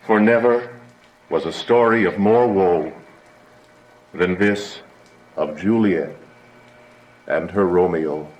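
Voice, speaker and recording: An actor playing a stern, commanding prince in a Shakespearean audio drama, declaiming formally and with authority. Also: male voice, low-pitched, slow, long pauses, muffled recording. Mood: somber. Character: stern commanding prince judging feuding families. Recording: echoey room, audible background hiss.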